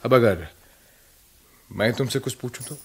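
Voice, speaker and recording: Weary husky middle-aged writer husband. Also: male voice, mid-range voice, measured pace. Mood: questioning, reflective. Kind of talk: radio play acting.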